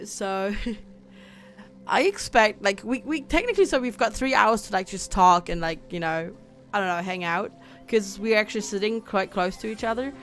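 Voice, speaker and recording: A young woman from Germany chatting casually with her viewers while playing a game on a livestream, reading out chat and laughing often. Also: mid-range voice. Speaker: streamer.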